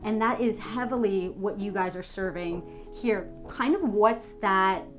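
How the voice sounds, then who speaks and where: A woman's voice: mid-range voice, unhurried. A woman hosts a cooking segment, her tone bubbly and eager. She chats casually with her guest at the stove. Faint music plays underneath. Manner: bubbly, enthusiastic. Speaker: cooking show host. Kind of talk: casual interview chat. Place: private restaurant kitchen.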